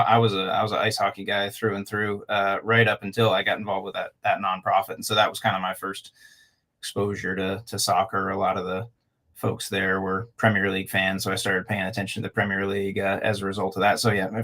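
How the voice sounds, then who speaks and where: Man, lowish voice, interview guest, hesitant, lots of fillers. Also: level tone, a little fast, pushed and bright. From Canada and Alaska. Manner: thoughtful, hesitant, many fillers and restarts. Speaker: guest, club owner. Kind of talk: casual interview.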